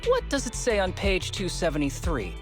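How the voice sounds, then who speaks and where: A woman's voice: on the low side, big pitch swings, moderate pace, over music. A woman playing an easygoing, teasing section member in a video game, conversational and questioning. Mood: quizzical.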